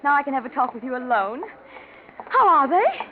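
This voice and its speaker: A gracious, wistful lady of good family, on a thin vintage radio recording with light hiss. Her voice is high and theatrical, with genteel exclamations in the style of 1940s radio acting. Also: sing-song, fairly quick, narrow, tinny audio. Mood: friendly, chatty.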